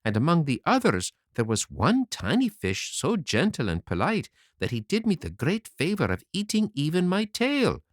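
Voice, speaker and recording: A man puts on a mischievous wooden marionette boy, cheeky and quick with a story. His voice leaps up and down in sing-song swings, recorded dry and close like a storybook audiobook. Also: fairly high pitch, huge pitch swings, no room sound. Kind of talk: audiobook character reading.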